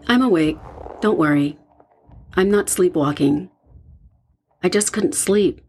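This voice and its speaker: A woman acting a worried, hesitant young woman in an audio horror drama, with a subdued, husky and breathy delivery. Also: on the low side, takes it slowly, darkish tone, slight background noise. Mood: weary.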